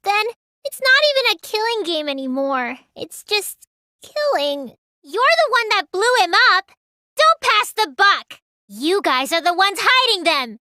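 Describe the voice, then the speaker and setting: A woman's voice: very high voice, very animated, a little slow, bright, forceful tone, no echo, clean studio sound, heavily acted. A woman voicing a small, childlike teenage girl mage in an anime video game, earnest and pouty, stammering when flustered. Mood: indignant.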